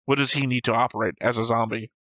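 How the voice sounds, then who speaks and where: A man's voice: medium pitch, fairly even intonation, fast talker, bright, forceful tone, no echo. A man co-hosting a reaction show, picking apart points in casual debate with a precise, argumentative manner. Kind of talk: casual debate about an animated series.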